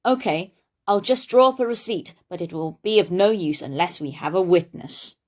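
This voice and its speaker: A woman voicing a shrewd, quick-thinking male village moneylender in a children's storybook. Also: fairly low pitch, close to an everyday voice. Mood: coaxing.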